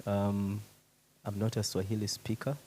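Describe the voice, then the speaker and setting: Low, mellow-voiced man chairing informally. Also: monotone, unhurried. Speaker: session chair. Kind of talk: informal conference moderating. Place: conference hall.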